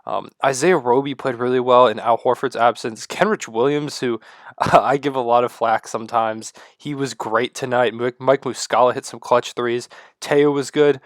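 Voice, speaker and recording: A man with a stuffy, congested voice and low energy, rambling excitedly to the camera at home. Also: moderate pace, no echo, studio quality. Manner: loose, rambling, excited fan talk with many fillers. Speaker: sports vlogger. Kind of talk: casual rambling talk to camera.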